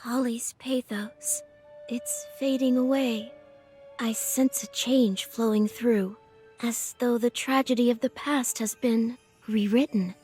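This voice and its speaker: A gentle, grateful woman on the edge of fading away, speaks in a soft, rather high voice. Her delivery is very slow and solemn, in video game drama style, with music clearly playing behind her. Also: steady tone. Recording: voice under clear background music.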